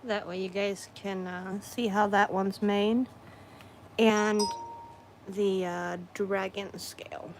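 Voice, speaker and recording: A hoarse woman chatting slowly and easily while she crafts at home, clearing her throat often and circling back to fix what she just said. Her pitch sits mid-range, and a faint hum of room noise stays under her voice. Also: flat delivery, poor audio. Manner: rambling, with frequent throat-clearing and self-corrections. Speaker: craft streamer. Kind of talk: casual livestream chat while crafting.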